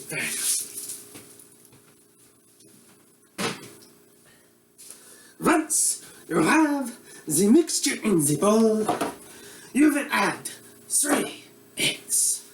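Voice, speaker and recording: A woman playing a bumbling, shady male Eastern European TV cook who speaks slow, broken English and gets suspiciously defensive. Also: mid-range voice, gravelly, recorded from a distance, background noise, full character voice. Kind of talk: comic cooking show parody. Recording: roomy, from the mic, faint ambience.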